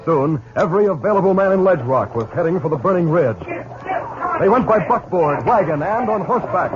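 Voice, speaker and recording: An actor narrating a 1950s radio western in a dramatic, formal announcer's delivery. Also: male voice, high voice, animated, brisk, background noise. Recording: dull 1950s radio recording.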